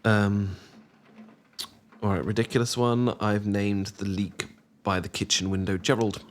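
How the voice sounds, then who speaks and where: A man acting as a tender, bashful young British man in a soft-spoken ASMR romance audio drama. His voice sits fairly low, and he stammers and hesitates as he gently teases. A clear wash of rain runs behind him. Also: level tone, a little slow, a little hiss or hum, lightly acted. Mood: playful, cozy. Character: shy, tender young man confessing love to old friend. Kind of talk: intimate ASMR boyfriend roleplay. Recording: clear background ambience of rain. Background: rain ambience.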